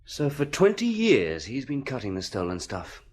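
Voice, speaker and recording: An actor playing an inquisitive police detective in a radio drama, questioning and conversational. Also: male voice, mid-range voice, wildly swooping pitch, moderate pace, darkish tone. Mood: curious.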